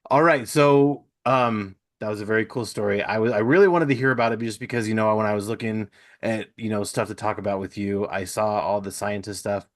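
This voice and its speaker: Male host, middle-aged, low register, casual conversational interviewing. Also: a little fast. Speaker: podcast host.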